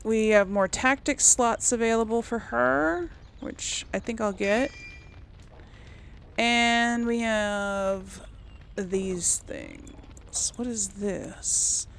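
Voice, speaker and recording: A woman's voice: fairly high pitch, extremely slow, vocal fry, slight background noise. A woman hosting a casual game playthrough, thinking aloud in a hesitant, musing way. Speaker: gaming video host.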